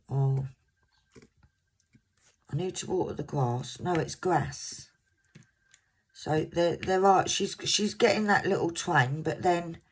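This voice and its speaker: A soft-spoken woman in her fifties with a mixed English accent, low in pitch and slightly husky. She talks slowly and chattily to her viewers, wandering from point to point and now and then tripping over a word. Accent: English, mixed southern. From Scotland. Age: 50s. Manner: rambling, chatty, slightly stumbling words. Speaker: crafting vlogger. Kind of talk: casual chat to viewers.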